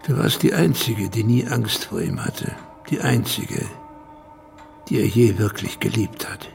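An actor playing a solemn male companion who narrates intimately in a radio play, with a gravelly, measured voice. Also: fairly low pitch, expressive, a little mellow, faint music behind, full character voice.

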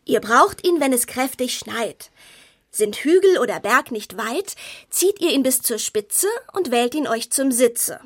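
A woman's voice: on the high side, animated, unhurried. An actress playing a plucky, clever, eager little girl in a children's fairy-tale audio drama, with a keen, girlish manner. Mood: riddling.

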